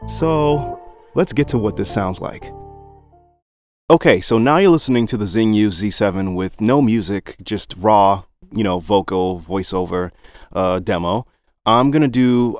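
A man's voice: lowish voice, no echo, studio quality. A man with a slightly groggy morning voice, talking casually to viewers as he reviews a microphone. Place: home studio. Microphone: budget condenser microphone through audio interface.

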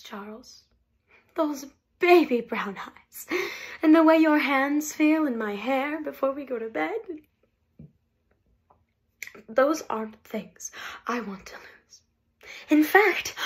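Actress doing a wife falling apart, high voice, slow and drawn out, whispers then shouts, near tears. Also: female voice, heavily acted. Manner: swings between hushed whispers and loud outbursts. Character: heartbroken wife refusing a divorce, erratic. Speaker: actress performing a monologue. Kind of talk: comic stage monologue.

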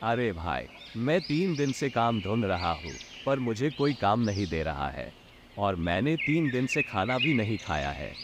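A man playing a poor, hungry, meek man in an animated moral story, in a plaintive, hesitant way. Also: lowish voice, unhurried. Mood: sorrowful. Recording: loud noise behind the voice.

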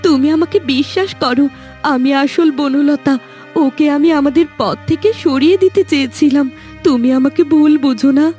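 Casting: actress for scheming, jealous young cartoon woman, high-pitched and melodramatic. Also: normal speed, warm tone, natural voice. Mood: desperate pleading. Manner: melodramatic, intense. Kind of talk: cartoon fairy-tale voice acting. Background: background music.

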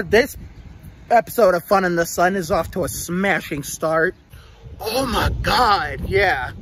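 A young man chatting casually and excitably, swearing freely, into a phone at arm's length while vlogging outside. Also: high voice. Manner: excitable, profanity-laden. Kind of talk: casual self-filmed vlog chat. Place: outdoors on a suburban street. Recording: outdoor street noise, passing vehicles.

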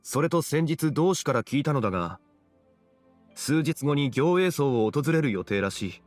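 An actor playing a composed, dignified and wise gentleman in a video game, with measured, courteous, formal speech. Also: mid-range voice, expressive, no echo, soft background music, lightly acted. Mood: calm, courteous. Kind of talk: Japanese video game voice acting.

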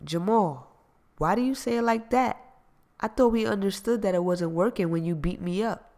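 A woman's voice: medium pitch, normal speed, dark tone, pitched above the performer's own voice, close to an everyday voice. A man reading an audiobook, voicing a sassy young woman who brushes off her ex. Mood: defiant. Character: young woman, cool and dismissive, then defiant.

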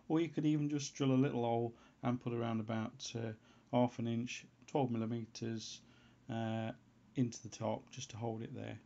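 A man giving an unscripted how-to voice-over as a hobbyist presenter, in a halting way, as if thinking aloud. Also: medium pitch, gravelly, dark tone. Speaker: hobbyist presenter of a homebrew antenna tutorial. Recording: dull, lo-fi sound.

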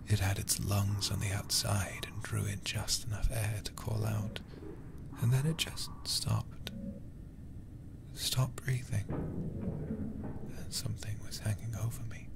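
A man voicing a twitchy, eerie child devoted to grandpa, in a hushed, halting horror-game narration. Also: on the low side, creaky.

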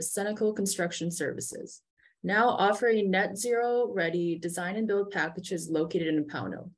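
A woman co-hosting a webinar over a video call, steadily reading sponsor thanks and panelist introductions. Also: mid-range voice. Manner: steady scripted read. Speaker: webinar co-host.

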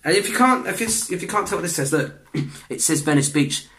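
A young man, chatting in a rambling way for a reaction vlog in his bedroom, restarting sentences, clearing his throat and swearing often. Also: high voice, animated, fairly quick, fairly bright tone. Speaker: vlogger reacting to videos. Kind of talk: casual reaction vlog chat. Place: small bedroom indoors.

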